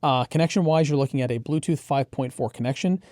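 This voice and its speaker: A man's voice: medium pitch, quick pace, darkish tone, no echo, studio quality. A man co-hosting a product preview, explaining features conversationally with easygoing, approving asides. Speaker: audio gear reviewer and co-host.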